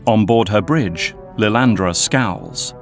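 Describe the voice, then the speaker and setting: A man's voice: lowish voice, takes it slowly, soft background music. A man narrating an action audio drama in a scripted, dramatic style.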